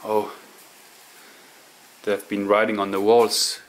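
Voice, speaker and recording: Slow-talking man exploring. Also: fairly low pitch, level tone, poor audio. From Denmark. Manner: musing, halting, talks to himself while exploring. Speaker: urban explorer. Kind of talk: casual walkthrough narration. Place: abandoned house and barn, indoors and outdoors.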